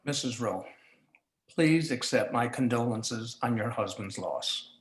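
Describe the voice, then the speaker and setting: A man's voice: medium pitch, animated, unhurried, natural voice. A man playing a crooked police chief in a noir radio play, with a clipped, businesslike manner. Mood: formal. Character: crooked police chief, curt and smooth-talking schemer. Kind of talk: 1940s noir radio acting, live community theatre. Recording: roomy, echoing live stage recording.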